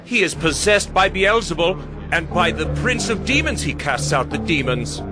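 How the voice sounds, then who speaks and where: A man voicing a hostile scribe who hurls accusations, his voice pitched somewhat high. It swings through a wide, lively range. Loud music nearly swamps him. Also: normal speed, lightly acted. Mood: accusing.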